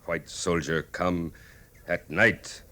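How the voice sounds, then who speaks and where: A man playing a proud, aggrieved war chief in an old-time radio drama, speaking stately, halting, broken English. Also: sits low, steady tone, sharp tone, full character voice. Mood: solemn, aggrieved. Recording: old radio recording, faint noise.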